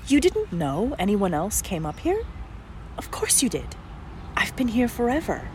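A woman's voice: medium pitch, wildly swooping pitch, a little slow, darkish tone, a little hiss or hum. A woman in a radio play voicing an ancient, genderless oak tree turned human, playful, teasing and curious. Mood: playful, pleased. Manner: teasing, theatrical, questioning.

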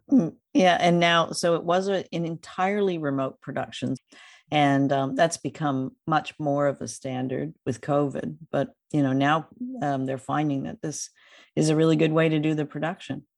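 A woman's voice: sits low, fairly even intonation, moderate pace, gravelly, dry recording, clean studio sound. A woman interviewing a guest as podcast host, in a conversational style with hesitant phrasing.

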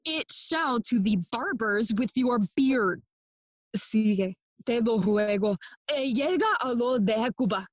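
Brooding young prince, high voice, unhurried, theatrical bilingual verse, bitter, audio drama. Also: female voice, animated, no room sound, studio quality. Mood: wry, courteous. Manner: theatrical, deliberate, switching between English and Spanish. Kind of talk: bilingual classical stage verse acting for audio play.

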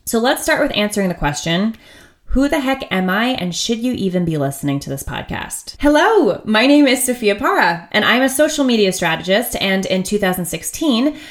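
A woman's voice: medium pitch, sing-song, normal speed. A woman in her thirties from the United States hosting her own podcast, talking in a chatty, upbeat and candid way with frequent laughs. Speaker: podcast host. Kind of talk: casual solo podcast monologue.

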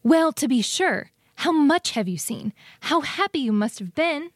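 A woman's voice: high-pitched, sing-song, a little slow, dry recording, studio quality. A woman voicing a tiny, eager, inquisitive mouse in a children's storybook, full of wonder. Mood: admiring. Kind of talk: dramatized children's storybook reading.

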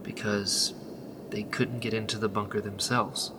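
A man's voice: lowish voice, little pitch movement, measured pace, background noise, lightly acted. A man playing a weary, troubled rebel medic in a sci-fi radio drama, speaking in an earnest, questioning way. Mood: troubled, puzzled.

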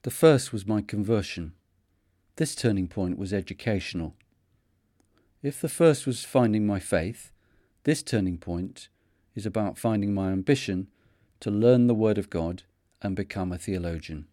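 A man in his fifties with a Manchester accent, hosting a podcast and narrating his own story in a measured, earnest way. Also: on the low side, dark tone, no room sound, studio quality. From North of England. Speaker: podcast host. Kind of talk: scripted reflective narration.

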